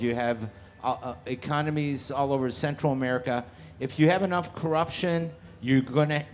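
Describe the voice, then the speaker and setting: A man moderates a panel discussion on an outdoor festival stage. He talks in loose, rambling runs and lands on his points with emphasis and strong opinions. His voice is of middle pitch, and faint outdoor ambience sits behind it. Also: darkish tone, a little hiss or hum.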